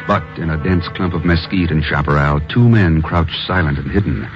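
A man announcing in formal, measured, dramatic 1950s radio style. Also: very deep voice. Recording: muffled old radio recording, loud music.

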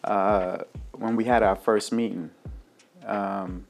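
Low-voiced man, hesitant and relaxed. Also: takes it slowly, gravelly, faint music behind. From Bermuda and Jamaica. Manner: relaxed, hesitant, many fillers and restarts. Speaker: guest, cafe founder. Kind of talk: casual interview chat.

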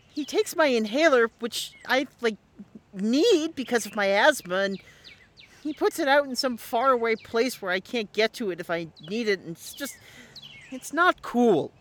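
A performer playing an anxious, exasperated boy at summer camp in an audio drama, with a halting, youthful delivery. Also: medium pitch, animated, a bit bright. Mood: annoyed, hurt. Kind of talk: naturalistic audio drama acting.

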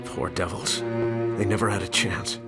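An actor playing a troubled investigator with an earnest, grave manner in a radio drama. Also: male voice, on the low side, fairly even intonation, a little slow, vocal fry, over loud music. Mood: somber. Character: troubled investigator reading out a grim letter.

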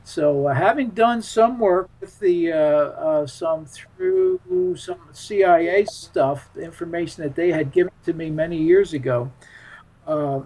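An American man in his seventies reminiscing casually as an interview guest, in a rambling, halting way. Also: fairly high pitch, darkish tone, low-quality recording. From Philadelphia, United States. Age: 70s. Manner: rambling, halting, chuckles while talking. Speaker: interview guest, UFO witness.

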